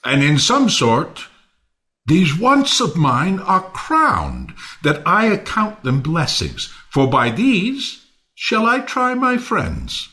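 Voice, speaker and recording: A man playing a proud, generous, naively trusting Athenian lord in a Shakespeare audio play, declaiming theatrically with sudden hushed drops. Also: high voice, huge pitch swings, takes it slowly, heavily acted. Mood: confident, commanding.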